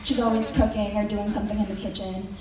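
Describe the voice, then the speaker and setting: A woman's voice: mid-range voice, little pitch movement, fast talker, warm tone, slight background noise. Young woman in a very echoey hall. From Mexico. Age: young adult. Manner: hesitant with many ums, wry joking asides. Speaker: student presenter. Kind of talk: senior presentation to a school audience. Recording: very echoey hall recorded from far off.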